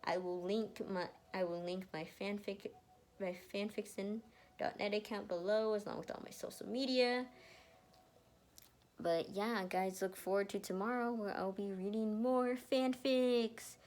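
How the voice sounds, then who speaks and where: A woman's voice: mid-range voice, fairly even intonation, unhurried, a little mellow. Informal woman reading and chatting. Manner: informal, stumbles over reading. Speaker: fan fiction author and reader. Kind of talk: story narration and casual chat to viewers.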